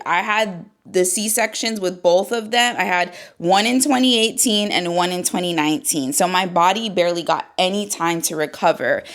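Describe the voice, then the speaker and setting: A woman's voice: medium pitch, a bit bright. Chatty woman in her thirties. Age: 30s. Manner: chatty, candid, loose with mild swearing. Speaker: yoga teacher and fitness vlogger. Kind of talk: casual talk to camera. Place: seated indoors.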